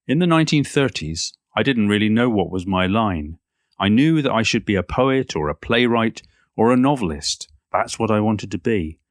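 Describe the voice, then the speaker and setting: Man playing reflective older Cornish poet, low-voiced. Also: dry recording, studio quality, close to an everyday voice. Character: reflective older Cornish poet, modest, wry, working-class born. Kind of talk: audio play monologue, reminiscing.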